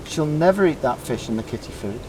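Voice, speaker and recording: A man's voice: medium pitch, lively intonation, a little fast, noisy background, voice pushed up, natural voice. A man voicing an earnest young girl talking simply about her pet in a storybook. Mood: concerned. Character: young girl, concerned and matter-of-fact about her cat.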